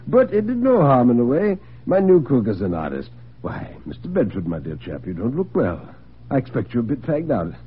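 A man voicing a genial, courteous gentleman host in a dramatic radio story, on a tinny, noisy old recording. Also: mid-range voice, breathy edge. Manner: courteous, chatty, solicitous. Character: genial, urbane gentleman host, sly and commanding.

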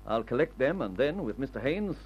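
An actor playing a brisk, decisive leader of airmen, giving instructions with calm authority in an old radio adventure serial. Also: male voice, mid-range voice, slight background noise, close to an everyday voice. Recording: dull old radio recording.

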